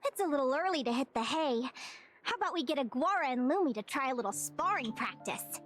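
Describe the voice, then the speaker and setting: High-pitched eager young fae girl. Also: animated, fairly quick. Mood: upbeat, curious. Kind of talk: video game character dialogue. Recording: music behind the voice. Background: music.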